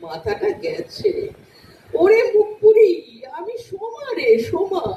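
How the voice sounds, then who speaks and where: A woman's voice: very high voice, expressive, slow, dark tone, slight background noise, heavily acted. A woman playing a bubbly young best friend who teases, in an audio drama. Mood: mock stern. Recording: echoey, distant, dull lo-fi.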